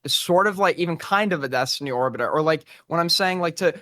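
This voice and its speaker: A man chatting casually as a guest on a live stream, halting and rewording as he goes. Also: a little high, fairly bright tone, no room sound. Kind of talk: casual chat.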